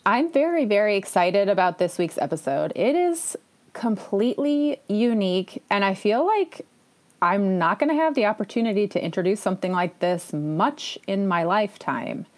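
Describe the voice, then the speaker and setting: A woman hosts her podcast alone in a casual, chatty way. She sounds eager and enthusiastic, and she often drops in little self-deprecating asides. Her voice sits at a middle pitch for a woman. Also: animated, moderate pace, a little mellow. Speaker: podcast host. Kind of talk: casual solo podcast talk.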